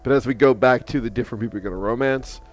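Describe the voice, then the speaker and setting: Quick, easygoing man. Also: mid-range voice, soft background music. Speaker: book club member. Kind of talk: casual group chat.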